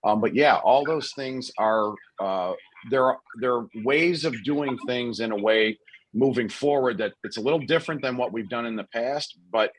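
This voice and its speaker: A man, a lawyer running for office, answering forum questions over a video call in a relaxed way with many you-knows. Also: medium pitch, moderate pace, dry recording, low-quality recording. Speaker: lawyer, candidate.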